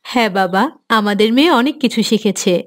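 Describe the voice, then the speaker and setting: A woman's voice: on the high side, expressive, normal speed, natural voice. A woman playing a dutiful, repentant young mother who speaks earnestly and deferentially to her father in a cartoon. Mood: pleased. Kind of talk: Islamic moral cartoon dialogue.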